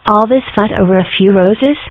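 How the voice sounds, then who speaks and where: A woman's voice: mid-range voice, moderate pace, no echo, lightly acted. A woman voicing a sardonic young fox girl in a comic dub, with a dry, wry delivery. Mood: dry, curious. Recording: muffled narrow-band lo-fi audio.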